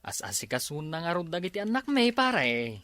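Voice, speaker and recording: A man's voice: a little high, very animated, moderate pace, sharp tone, no room sound, studio quality. A man with an Ilocano accent acting an earnest, dutiful husband and father in a comedy skit. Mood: resigned.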